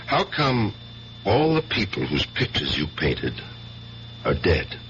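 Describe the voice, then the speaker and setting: An actor playing a suspicious, relentless American interrogator in a radio drama, pressing and accusing, on a muffled vintage recording. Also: male voice, low-pitched, huge pitch swings, slow pace, vocal fry, a bit bright, slight background noise, exaggerated voice. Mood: insistent, accusing. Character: suspicious, relentless male interrogator grilling an acquaintance.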